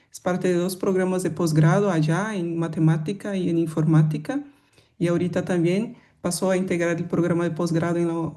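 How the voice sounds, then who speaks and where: A woman moderating a colloquium, giving a formal introduction of the guest speaker in a slightly halting way, with fillers. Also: on the low side, dark tone.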